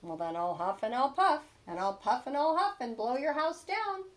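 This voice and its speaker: A woman puts on a big bad pig from a children's storybook. He is a menacing bully who chants his threats in a fairly high, lively voice that rises and falls. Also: moderate pace. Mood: menacing, taunting. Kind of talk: read-aloud storybook character.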